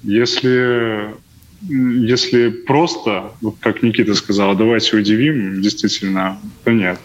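Slow-talking hesitant young man, noisy recording. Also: fairly low pitch, fairly even intonation. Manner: hesitant, thinking aloud. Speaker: panel guest. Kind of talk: casual chat, answering questions. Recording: muffled, noticeably noisy sound.

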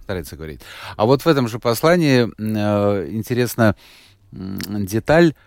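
A man's voice: fairly low pitch, animated, moderate pace, darkish tone, dry recording, clean studio sound. A male radio host interviewing a guest, reading out a listener's message and pressing with follow-up questions. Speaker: radio talk show host.

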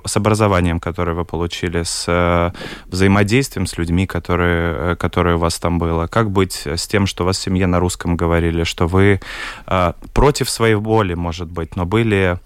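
A middle-aged man from Latvia with a very low voice, talking through his thoughts slowly and hesitantly, full of fillers. The sound is dry and close, with no room echo. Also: fairly even intonation, clear, resonant tone. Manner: hesitant, many fillers, searching for words. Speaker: guest, film director. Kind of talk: discussion panel talk.

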